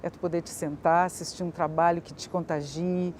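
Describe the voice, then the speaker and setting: A woman talks informally, restating her thoughts as she goes. Her tone is warm and dark, over faint ambient noise. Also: fairly low pitch, flat delivery, brisk. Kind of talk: informal interview talk.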